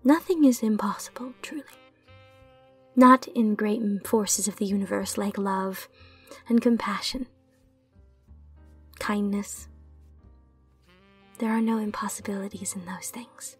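A mysterious, gentle bartender from a magical bar, acted by a woman in an ASMR roleplay. She speaks very softly in a breathy, whispery voice, taking her time and soothing the listener, with music behind her. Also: medium pitch, no room sound, recorded from a distance. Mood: soothing, reassuring. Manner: slow, soothing, reassuring delivery. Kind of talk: soft-spoken ASMR roleplay.

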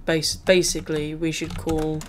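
A woman's voice: low voice, completely flat delivery, unhurried, a little hiss or hum. A woman playing a horror game with a friend, nervous and jittery, crying out in panic. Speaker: co-player. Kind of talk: casual gaming commentary.

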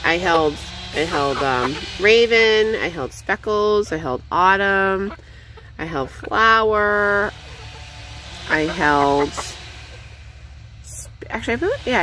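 A young woman chatting casually while she feeds her backyard chickens, rambling and cooing at them in a high voice, recorded on a handheld phone outdoors. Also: rough recording. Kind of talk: casual off-the-cuff vlog chat.

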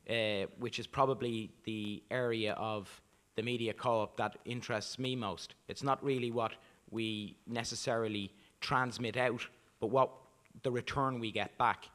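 A man's voice: fairly low pitch, fairly bright tone. Earnest older man, handheld mic. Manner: earnest, filler-heavy. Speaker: community media committee member. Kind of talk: panel discussion answer. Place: conference function room.

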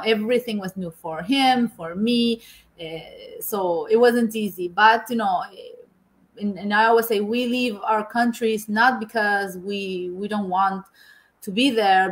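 A woman's voice: mid-range voice, a bit bright, poor audio. Woman on a video call from home, stops and restarts a lot, says you know constantly. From Argentina. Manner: hesitant, many repeated words and "you know". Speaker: guest, engineer. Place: home room indoors.

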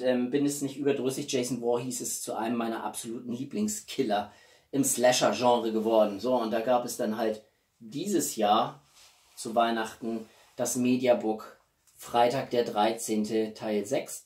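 A man in his forties talks casually to his viewers as a home video host. His voice sits at a middle pitch and moves expressively between livelier lines and quieter asides. The microphone is some distance away, so the room echo is clearly audible. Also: fairly even intonation, unhurried. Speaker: YouTube host. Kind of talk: casual chat to camera. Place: small living room at home, indoors.

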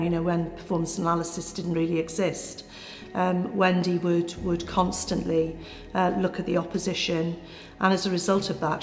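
A woman in her sixties, a former netball player and coach, speaking earnestly with many pauses and fillers in a seated interview indoors. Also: lowish voice. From Wales. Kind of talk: reflective interview. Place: indoor corridor. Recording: echoey hall, soft music beneath.

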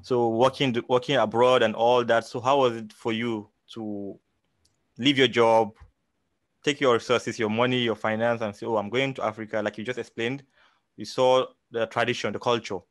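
A man's voice: medium pitch, fairly even intonation, no room sound. Male interviewer in his thirties, midrange voice, dry close sound, stumbles through questions. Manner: halting questions with many restarts. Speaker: interviewer, host. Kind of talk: casual interview. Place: indoors, small room.

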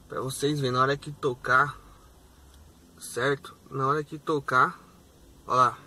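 A young man, vlogging from his car as a rideshare driver and checking in with his viewers in an upbeat way. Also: mid-range voice. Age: young adult. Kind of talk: casual vlog to camera. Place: inside a car, day and night. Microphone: phone camera on dashboard. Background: faint car and road noise.

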